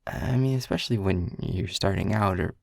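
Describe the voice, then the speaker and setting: A man voicing a shy, nervous young air elemental in an intimate audio roleplay, breathy and husky, stammering through earnest, flustered talk. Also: low-pitched, normal speed, dry recording, clean studio sound. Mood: sheepish, nervous.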